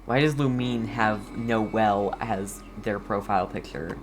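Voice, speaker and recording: A video game streamer with a mid-pitched voice talks at an unhurried pace. The commentary is casual and rambling, with faint noise and typing behind it. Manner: rambling, talks to self and viewers. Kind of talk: casual chatty gameplay commentary.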